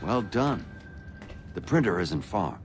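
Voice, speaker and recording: An actor playing a genial, middle-aged colonial rebel mentor in a video game, speaking eloquently with wry, instructive phrasing. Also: male voice, low-pitched, very animated, slow pace, soft background music, exaggerated voice. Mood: amused.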